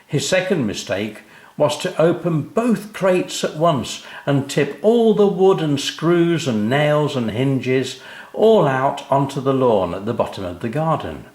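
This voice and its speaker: An older British man narrates a children's story. He reads at a slow, measured pace with lots of rise and fall in his expressive delivery. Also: mid-range voice, roomy sound.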